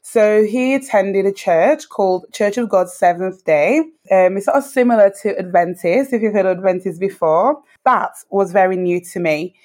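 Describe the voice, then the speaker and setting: Thirties woman, British accent, interview guest, thoughtful and earnest, pauses often. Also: mid-range voice, a little mellow. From Dominica. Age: 30s. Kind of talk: reflective personal storytelling.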